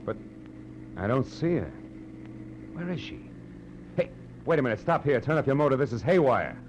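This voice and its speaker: An actor playing a hard-boiled, world-weary private detective in a 1940s radio drama, with an American accent and clipped, deadpan delivery. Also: male voice, medium pitch, moderate pace, slight background noise, close to an everyday voice. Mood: urgent. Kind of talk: 1940s radio noir acting, first-person narration. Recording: muffled old radio recording with music.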